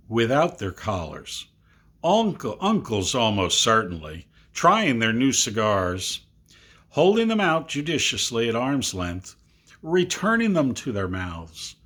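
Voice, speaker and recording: A man reading a literary Christmas story aloud to family, with expressive, deliberate emphasis. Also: mid-range voice. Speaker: amateur storybook reader.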